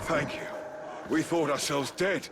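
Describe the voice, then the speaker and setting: A man's voice: a little high, unhurried. A man playing an earnest, dutiful soldier in a fantasy video game, speaking in a grave, formal manner. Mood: relieved, urgent. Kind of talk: video game character acting. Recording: clear background noise.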